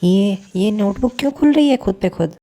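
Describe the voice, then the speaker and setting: A woman's voice: medium pitch, animated, moderate pace, mellow, lightly acted. A woman voicing a frightened, stammering schoolboy in a cartoon story, pleading in panic. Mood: panicked, pleading. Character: frightened schoolboy pleading against cursed drawings.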